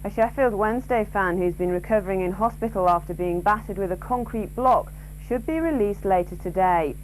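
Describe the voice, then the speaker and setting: A woman reads a regional news bulletin from a script. Her voice sits at an average pitch, with faint noise and hum from an old television broadcast. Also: brisk, rough recording. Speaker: regional newsreader.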